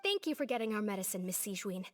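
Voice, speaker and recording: A woman playing a prim, sharp-tongued but courteous young lady in a video game. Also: mid-range voice, lively intonation, fast, in a large hall, close to an everyday voice.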